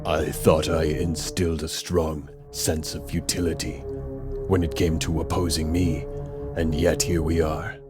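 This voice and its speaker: An extremely low-voiced grandiose supervillain takes his time with every menacing phrase. He is theatrical and pompous, a self-styled god in a radio drama, with dramatic music playing clearly behind him. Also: little pitch movement, unhurried. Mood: cold, gloating. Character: grandiose middle-aged male supervillain with a god complex.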